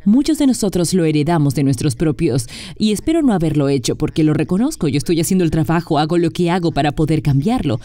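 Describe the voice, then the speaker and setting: Expressive, mellow-voiced woman guest. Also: low-pitched, fast, few pauses, dry recording. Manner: earnest, emphatic, explanatory. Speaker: interview guest. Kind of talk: dubbed interview conversation.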